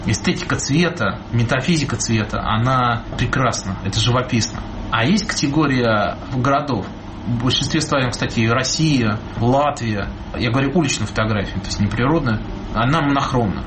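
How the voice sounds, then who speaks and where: A man's voice: mid-range voice, moderate pace. A man, a street photographer, answering interview questions reflectively, repeating key words and reaching for images. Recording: noticeable background noise.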